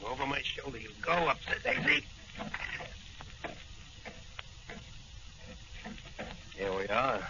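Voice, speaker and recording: A man's voice: low-pitched, dull audio. A man playing a suave, confident crime-fighting hero with a gallant, declamatory delivery in 1940s radio serial style. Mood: brisk, self-assured. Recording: noisy, band-limited old radio recording.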